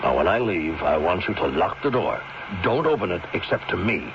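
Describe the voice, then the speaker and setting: An actor playing a firm, protective family doctor in a 1970s radio drama, gravelly and measured. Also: male voice, low-pitched, very animated. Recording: muffled, noisy old radio recording.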